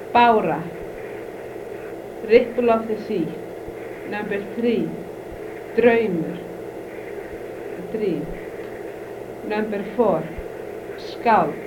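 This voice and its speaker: A woman from Iceland whose first language is Icelandic, slowly reading a numbered word list aloud and glossing each word. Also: medium pitch. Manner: slow, careful, deliberate reading. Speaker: language informant. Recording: dull old tape with clear hiss.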